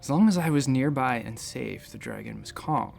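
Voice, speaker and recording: A man's voice: mid-range voice, animated, moderate pace, creaky, a little mellow, soft background music. A man playing a nervous space-station doctor who talks in a hesitant, rambling, self-deprecating way in a sci-fi audio drama. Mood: reflective, tender. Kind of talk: sci-fi audio drama acting, conversational first-person storytelling.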